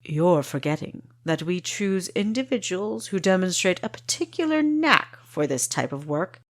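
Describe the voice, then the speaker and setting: A woman voicing an amused, evasive male spy leader with a deep, gritty voice for an audiobook. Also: very animated, moderate pace. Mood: smug, evasive.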